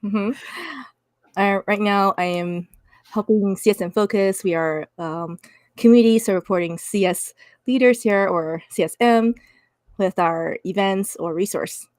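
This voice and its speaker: A woman from Canada hosting a live-streamed panel discussion, casual and halting with frequent fillers, speaking over a video call. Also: medium pitch, darkish tone, no echo, studio quality. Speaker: host.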